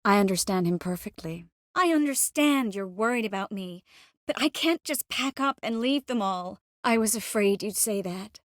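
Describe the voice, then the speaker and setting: An actress voicing a young sorceress in a video game, gentle, earnest and determined, with a measured, expressive delivery. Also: female voice, medium pitch, dry recording, clean studio sound, natural voice. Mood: regretful, serious. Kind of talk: video game character voice lines.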